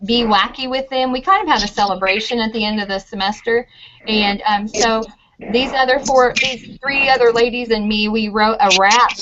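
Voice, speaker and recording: A woman's voice: fairly high pitch, a bit bright. Chatty middle-aged woman over dull call. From United States. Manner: friendly, chatty, thinks aloud with ums. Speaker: university professor of teaching methods. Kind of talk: casual interview answers. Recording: dull lo-fi audio with clear noise.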